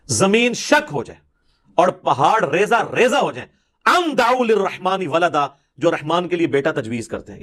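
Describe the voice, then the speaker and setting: A man's voice: a little high, a bit bright. A man with a Pakistani Punjabi accent giving an informal religious lecture in an emphatic, argumentative, rhetorical way.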